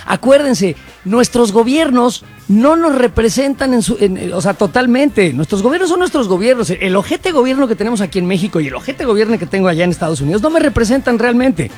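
A man's voice: very high-pitched, sing-song, fairly quick, hardly any pauses, a little mellow, soft background music. A man with a Mexican accent co-hosting a casual chat, blunt, mocking and profane. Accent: Mexican Spanish. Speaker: co-host.

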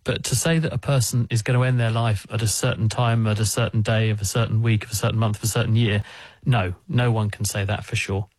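A man answering listener questions on a radio call-in show, explaining things in a calm, methodical way. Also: on the low side, a little fast, no room sound. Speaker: science expert answering callers.

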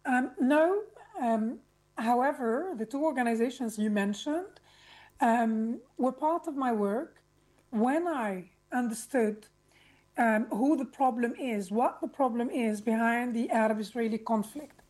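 High-voiced, articulate woman from Lebanon. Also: measured pace, a little mellow, poor audio. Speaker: interview guest, activist speaker. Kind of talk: personal account in an interview.

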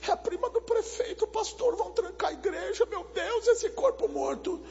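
A woman's voice: extremely high pitch, expressive, moderate pace, a little mellow, long reverb tail, muffled recording, voice pushed up. Man putting on a shrieking panicked church sister.

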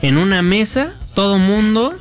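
A man co-hosting a broadcast show, telling stories in a chatty, lively way and laughing easily. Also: high voice, a little slow, faint music behind. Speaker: co-host. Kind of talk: casual chat on a broadcast show.

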